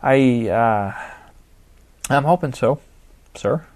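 A man's voice: medium pitch, animated, extremely slow, a little mellow, voice pushed up. A male narrator voicing a young ballplayer who gives short, hesitant replies. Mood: eager. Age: young adult.

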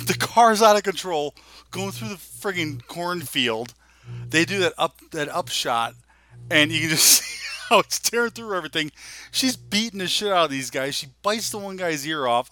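A man's voice: on the high side, moderate pace. A man in his forties co-hosting a casual chat about screen adaptations, telling it colloquially with plenty of swearing. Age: 40s. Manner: animated storytelling, profane, colloquial. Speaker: podcast co-host.

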